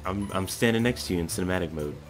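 A young man bantering casually with friends during gameplay, excitable, slangy and quick to swear. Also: sits low, faint music behind. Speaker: gaming video creator.

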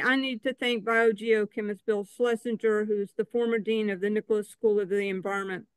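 An older man talks about his photography in a measured, earnest way. His voice is smooth and clear. He is recorded dry over a webcam on a video call. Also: sits high, dry recording, poor audio. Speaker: exhibiting photographer. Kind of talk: prepared speech introducing own work.

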